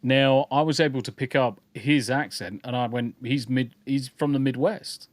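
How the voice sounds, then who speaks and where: Man, podcast co-host, mid-pitched voice, joking and swearing, dry close sound. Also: fairly even intonation, moderate pace, no echo. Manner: joking, greets chat viewers, swears freely. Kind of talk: casual livestream chat.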